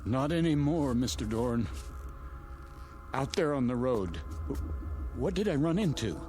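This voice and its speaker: A man's voice: medium pitch, very animated, a little slow, darkish tone. An actor in a radio drama playing a captive outsider, a sardonic, incredulous man who challenges those around him. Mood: skeptical, challenging. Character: indignant male outsider, sardonic would-be novelist held captive. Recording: music under radio drama dialogue.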